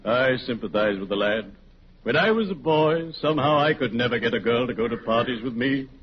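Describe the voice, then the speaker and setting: An actor playing a courtly, morbidly cheerful undertaker in a solemn, genteel manner for a 1940s radio comedy. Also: male voice, medium pitch, lively intonation, natural voice. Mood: solemn sympathy. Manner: solemn, genteel, drawn-out delivery. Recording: muffled, noisy 1940s radio, audience behind.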